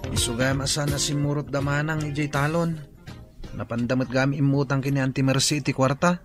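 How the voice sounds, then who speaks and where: A man's voice: medium pitch, flat delivery, a little fast, soft background music, close to an everyday voice. A young man with an Ilocano accent plays a startled, protesting son in a radio drama. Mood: aggrieved.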